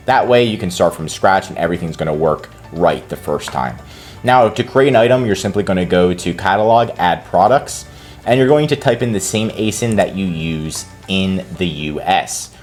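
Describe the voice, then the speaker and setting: A man hosting a tutorial and giving casual step-by-step instructions as a consultant. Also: low-pitched. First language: English. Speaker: consultant and channel host. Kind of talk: screen-recorded tutorial walkthrough.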